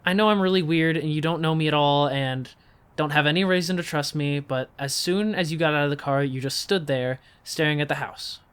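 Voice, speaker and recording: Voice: mid-range voice, a little fast, clear, resonant tone, natural voice. A woman playing an awkward, secretive, apologetic young local in an audio drama, hesitant and evasive.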